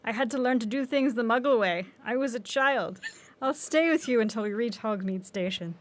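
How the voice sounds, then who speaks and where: A woman voicing a brisk, self-assured teenage witch schoolgirl in a story read aloud. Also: fairly high pitch, natural voice. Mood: explaining.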